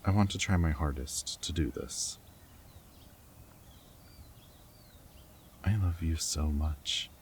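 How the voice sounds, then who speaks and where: Very low-voiced, tender goofy rebel scientist played by a man. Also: normal speed, a little hiss or hum. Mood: tender, anxious. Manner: tender, rambling, earnest. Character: goofy, affectionate young male rebel lab scientist and anxious foster parent. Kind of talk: intimate scripted roleplay audio drama, speaking to the listener.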